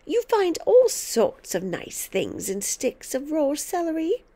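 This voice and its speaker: A woman's voice: high-pitched, huge pitch swings, takes it slowly, mellow, pitched above the performer's own voice, heavily acted. A woman voicing a tiny, bossy, mean old grandmother who scolds and snaps with gloating emphasis in a storybook reading. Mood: gloating relish.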